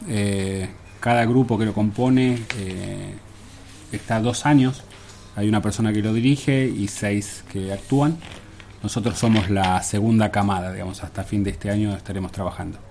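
A man's voice: lowish voice, fairly even intonation, normal speed, creaky, darkish tone, a little hiss or hum. A man with an Argentine accent explaining things informally as a guest in a radio interview. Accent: Argentine Spanish. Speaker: guest, theatre director.

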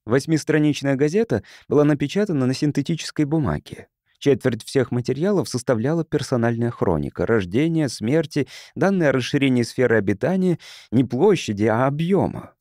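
Male audiobook narrator with lively intonation. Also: mid-range voice, a little fast, dry recording, studio quality. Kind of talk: scripted literary narration of science fiction.

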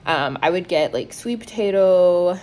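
A woman's voice: fairly low pitch, expressive, measured pace, a little mellow. A young woman in her twenties casually talking through a cooking vlog at home into a phone camera, rambling and self-mocking with lots of fillers. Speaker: cooking vlogger. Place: small home kitchen and apartment room.

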